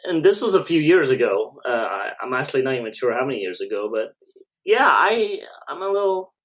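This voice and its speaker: A man's voice: medium pitch, big pitch swings, a little fast, a bit bright, rough recording. A man co-hosting a podcast in casual conversation. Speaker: podcast co-host. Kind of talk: casual chat.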